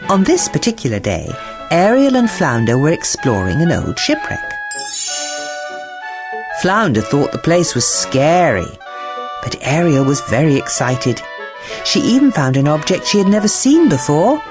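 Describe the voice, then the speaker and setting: A woman narrating a scripted children's bedtime story in an expressive, theatrical storytelling manner. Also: low-pitched, unhurried, music in the background.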